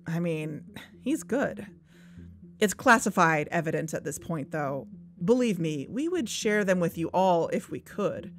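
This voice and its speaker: A woman's voice: lowish voice, lively intonation, a little slow. A woman playing a wry, earnest investigator who co-hosts a podcast, narrating in a conversational, confiding way. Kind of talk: conversational scripted audio drama narration. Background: background music.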